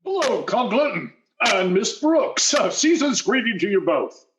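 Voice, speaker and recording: A man playing a jovial, self-important school board head in a radio sitcom, with a hearty, deliberate delivery. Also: sits high, sing-song, echoey room, background noise. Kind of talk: 1940s radio sitcom acting on stage.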